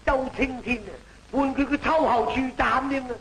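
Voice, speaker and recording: An actor with a Cantonese accent playing an earnest, righteous official in an old opera film, with drawn-out, half-sung theatrical phrasing. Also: male voice, very high-pitched, fairly even intonation, gravelly, crowd noise, rough recording. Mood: troubled disbelief. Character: earnest, righteous male official doubting an unjust verdict. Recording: old film soundtrack, loud opera music.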